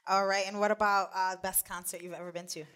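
A woman's voice: medium pitch, little pitch movement, fast, fairly bright tone, clear, resonant tone. Female podcast host, chatty and reactive. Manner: friendly, frequent "wow" reactions. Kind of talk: podcast interview.

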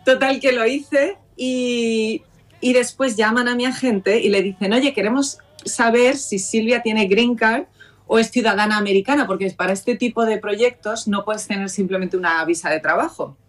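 A woman's voice: on the high side, moderate pace. Actress guest, female, chatty anecdote teller. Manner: lively anecdotal, acts out quoted dialogue. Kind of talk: storytelling in interview.

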